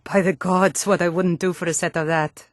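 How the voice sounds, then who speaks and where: A woman playing a tough, suspicious female Nord city guard in a fantasy video game, with a blunt, gruff, challenging and wry manner. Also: on the low side, steady tone, moderate pace, no room sound, clean studio sound, lightly acted. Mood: admiring.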